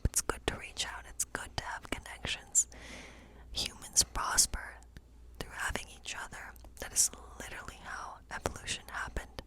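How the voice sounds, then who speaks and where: A woman whispering gently and breathily in an ASMR role play as a kindly witch who reads tarot. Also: low-quality recording. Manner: gentle, slow, soothing reassurance. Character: kindly witch reading tarot in her woodland cottage. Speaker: ASMR performer playing a witch.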